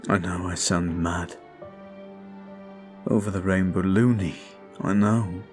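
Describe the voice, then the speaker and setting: A man voicing a brooding male vampire who is weary and guilt-ridden, talking slowly and softly in an ASMR roleplay. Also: sits low, steady tone. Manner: slow, soft, confiding. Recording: faint music behind.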